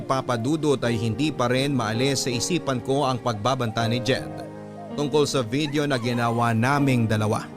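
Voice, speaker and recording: Filipino man narrating over background music. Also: mid-range voice. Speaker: radio storyteller. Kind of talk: first-person dramatic scripted narration of a letter.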